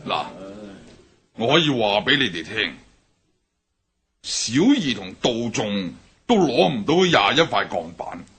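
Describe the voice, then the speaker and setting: An actor playing a stern older triad boss in a radio drama, speaking with a Hong Kong Cantonese accent and a raspy, commanding manner. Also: male voice, mid-range voice, big pitch swings, slow, long pauses, fairly bright tone, exaggerated voice. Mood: grave. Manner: commanding, barks orders. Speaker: gang leader.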